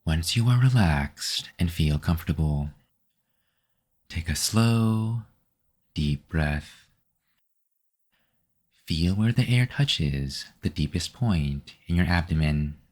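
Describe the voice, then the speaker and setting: A man guiding a meditation with gentle, unhurried, scripted instructions. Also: deep voice, animated. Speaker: meditation guide. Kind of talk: scripted guided meditation narration.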